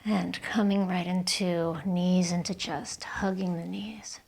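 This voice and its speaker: A woman leading a guided yoga and relaxation session as the instructor, speaking in a gentle, calm, soothing way with breathy cues. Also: fairly low pitch, slow. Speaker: yoga instructor and channel host.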